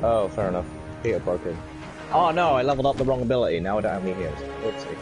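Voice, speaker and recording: A man's voice: fairly low pitch, animated, very fast. A man chatting casually in short offhand remarks as he plays an online game. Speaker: player in online game group. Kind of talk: casual gaming chat. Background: game music.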